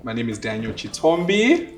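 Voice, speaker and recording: A man's voice: mid-range voice, sing-song, normal speed, a little hiss or hum. A man in his twenties with a Southern African accent, casually telling his own story and acting out remembered dialogue. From Zimbabwe and South Africa. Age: 20s.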